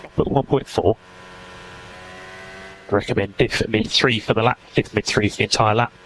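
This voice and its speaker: Bright-voiced male race engineer on radio. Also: on the low side, normal speed. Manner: clipped, procedural delivery. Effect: team radio transmission. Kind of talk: terse race radio instructions. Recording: noisy team radio feed.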